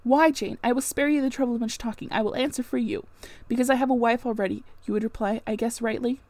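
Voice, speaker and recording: A woman reading a classic novel aloud, voicing an impassioned, brooding married gentleman in long theatrical speeches. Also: on the high side, steady tone, fast, dry recording, close to an everyday voice. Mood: bitter, accusing. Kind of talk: dramatic audiobook-style reading of a classic novel.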